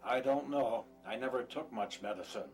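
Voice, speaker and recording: A man's voice: mid-range voice, moderate pace, darkish tone, natural voice. A man playing a nervous, hesitant fugitive patient in an audio drama, plain-spoken and uneasy. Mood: resigned. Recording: music behind the voice.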